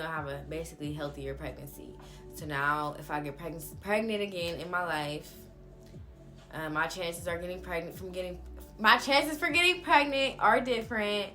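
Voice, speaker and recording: A young woman with a slightly low-pitched voice talks in a casual, confessional vlog style. Her delivery is candid, blunt and slangy, as if telling a story straight to her followers. Music plays behind her voice. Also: bright, forceful tone. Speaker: vlogger telling a personal story.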